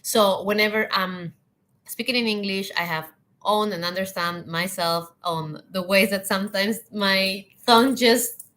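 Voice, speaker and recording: A woman whose first language is Spanish, giving earnest leadership advice in English with pauses and restarts. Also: mid-range voice, a bit bright. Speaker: hospitality leadership coach. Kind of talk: talking to camera.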